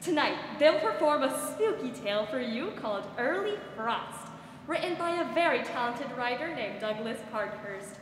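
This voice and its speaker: A woman's voice: high voice, sing-song, moderate pace. A young woman playing a gracious, upbeat 1940s radio show host, reading scripted announcements in a folksy, polished way. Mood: welcoming, upbeat. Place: school theatre stage, indoors. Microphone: stand microphone. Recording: very echoey hall, faint noise.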